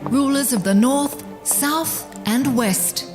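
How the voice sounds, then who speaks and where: A stately, knowing magical ruler with a fairly high voice. She speaks deliberately, as in a fantasy radio play, over clear music. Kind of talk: fantasy audio drama acting.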